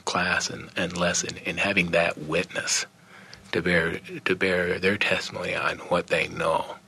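A man's voice: deep voice, gravelly, bright, forceful tone, husky. An older man on a discussion panel, speaking sincerely and reflectively with frequent restarts. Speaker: panel guest. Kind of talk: earnest discussion of faith.

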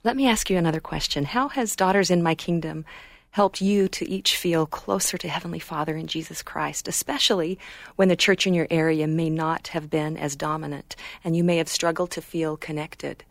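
Woman, interview host, soft-spoken, appreciative, low-pitched, dry. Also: moderate pace, few pauses, no room sound.

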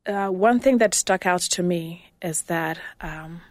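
A woman guest answering in a measured, thoughtful way. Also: fairly low pitch, no echo. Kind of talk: reflective answer.

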